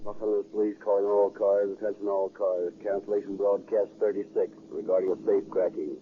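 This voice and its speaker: A man playing an official police dispatcher, reading bulletins in a monotone on a 1930s radio show. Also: lowish voice, a little fast, close to an everyday voice. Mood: businesslike. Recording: muffled, noisy 1930s radio recording.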